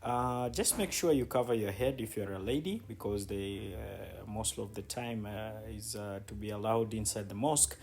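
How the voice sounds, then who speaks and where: A man's voice: fairly low pitch, moderate pace, creaky, hardly any pauses, darkish tone. A man giving casual, conversational travel commentary as he guides viewers around, often repeating words for emphasis. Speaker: travel vlogger and city guide. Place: outdoors on city streets. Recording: background noise, outdoor street ambience.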